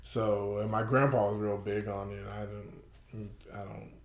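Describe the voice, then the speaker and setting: A man's voice: on the low side, flat delivery, a little slow. A young man, a fighter guest on a podcast, chatting casually, joking and laughing a lot as he rambles. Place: podcast studio. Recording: roomy studio echo.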